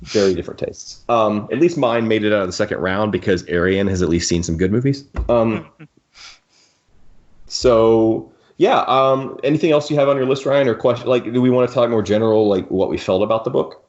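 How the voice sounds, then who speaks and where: A man's voice: on the low side, brisk, a little mellow. A man hosting a casual podcast discussion in a wry, jokey, rambling way. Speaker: podcast host. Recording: dull, lo-fi audio.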